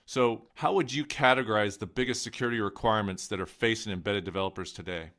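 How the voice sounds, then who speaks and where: Upbeat low-voiced podcast host. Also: male voice, fast talker, fairly bright tone. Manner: upbeat, friendly interviewer. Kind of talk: hosting a tech podcast.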